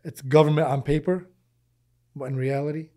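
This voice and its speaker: A man's voice: fairly high pitch, steady tone, moderate pace, warm tone. A man in his forties, raised in the US and born in Lebanon, talking earnestly as a podcast guest, often repeating words as he thinks and using Arabic religious phrases. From Lebanon, raised in Michigan, US. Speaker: podcast guest, charity founder. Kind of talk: casual interview conversation. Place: small podcast studio indoors. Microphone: boom-arm studio mic.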